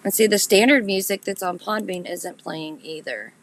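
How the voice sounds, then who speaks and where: A woman chats casually with her listeners on a live podcast. Her voice is raspy and gritty, stuffed up and nasal from congestion. She talks straight to her audience in a relaxed, self-mocking way. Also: medium pitch, moderate pace. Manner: chatty, laughs at herself, talks straight to listeners. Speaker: podcast host.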